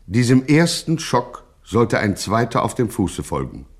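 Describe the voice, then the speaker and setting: An actor playing a grandiloquent narrator of a gangster satire, speaking in a pompous, mock-solemn way. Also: male voice, mid-range voice, sing-song, unhurried, slightly rough, exaggerated voice. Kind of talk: scripted satirical radio narration.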